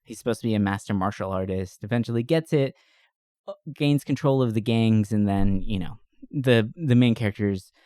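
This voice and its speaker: A man's voice: fairly low pitch, moderate pace, dark tone, no echo, clean studio sound. A man co-hosting a podcast, chatting casually and enthusiastically about movies in a rambling, giggly storytelling way. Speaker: podcast co-host reviewing movies. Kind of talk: casual movie-review chat.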